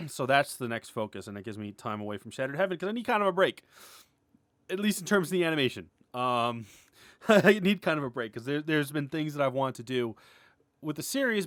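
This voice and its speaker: Casual, halting man in a dry room. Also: mid-range voice, a bit bright, no echo. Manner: rambling, halting, self-interrupting, often chuckling and clearing throat; informal, mildly sweary, candid. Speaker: animator and web series creator. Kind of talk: casual update vlog, unscripted.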